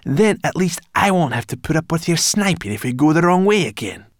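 Guy doing a grumpy brownie girl, snappy n sarcastic, pitched up, storybook creature. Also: big pitch swings, a little fast, no echo, clean studio sound. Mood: snappish, taunting. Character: grumpy, sharp-tongued female brownie girl.

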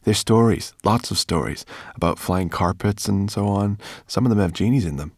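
A man's voice: on the low side, normal speed, no room sound, studio quality. A man voicing a curious, eager young boy adventurer in a fantasy audiobook, questioning and wide-eyed. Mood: explaining.

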